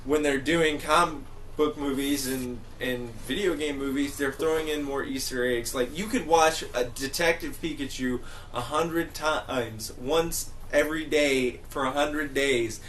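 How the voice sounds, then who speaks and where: A man speaks as a panelist in a relaxed group chat, at a medium pitch. His delivery is emphatic and rambling, full of casual slang, with faint noise behind him. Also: unhurried, hardly any pauses. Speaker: podcast panelist.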